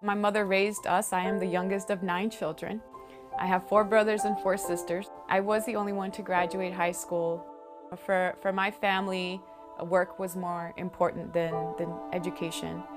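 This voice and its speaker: A woman's voice: medium pitch, little pitch movement, normal speed. A woman from El Paso, Texas, whose first language is Spanish, speaking plainly in an interview in a classroom. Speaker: math teacher, Navy veteran. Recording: music bed under interview.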